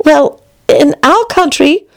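A woman voicing a curious, polite and excitable little girl in a storybook reading. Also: extremely high pitch, measured pace, pitched above the performer's own voice. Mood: polite, weary. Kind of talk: storybook character voice.

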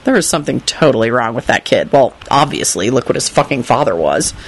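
A woman's voice: a bass voice, very animated, brisk, breathy edge, pitched below the performer's own voice, exaggerated voice. A woman voicing a foul-mouthed, scheming grumbler muttering private complaints. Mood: sour muttering.

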